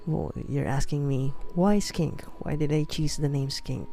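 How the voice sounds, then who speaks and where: Filipino-accented teen boy, slow, gravelly, awkward, apologetic, lots of fillers. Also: a little high, mellow, slight background noise. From Philippines. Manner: awkward, apologetic, self-mocking, many fillers. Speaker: beginner art vlogger. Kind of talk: rambling self-conscious voice-over while drawing. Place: house.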